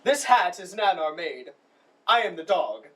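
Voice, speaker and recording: A man playing a woeful, muddled Shakespearean clown servant in a comic stage monologue, speaking deliberately. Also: high voice, sing-song, a bit bright, a little hiss or hum, exaggerated voice. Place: school showcase stage, recorded from the audience. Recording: distant stage recording.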